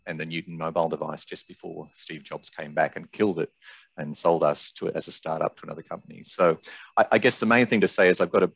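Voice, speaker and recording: A man's voice: deep voice, gravelly, fairly bright tone, slightly rough. A middle-aged man with an Australian accent, giving a conversational presentation over a video call with wry, self-deprecating asides. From Brisbane, Australia. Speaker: webinar presenter, sales director. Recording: muffled, roomy video-call audio.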